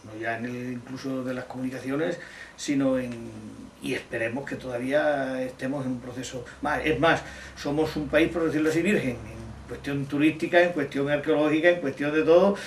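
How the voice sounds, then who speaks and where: A middle-aged or older man from Extremadura in Spain, answering interview questions deliberately, often rephrasing as he goes. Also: mid-range voice, fairly even intonation. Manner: deliberate, halting, rephrasing as they go. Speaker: interviewee, technology teacher and amateur poet. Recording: roomy, distant mic, faint noise.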